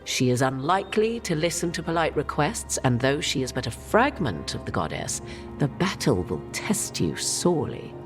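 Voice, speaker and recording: An actress playing a cryptic, proud woman mage and keeper of old secrets, who speaks in a measured, archaic and aloof way in a video game cutscene. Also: low voice, very animated, over music.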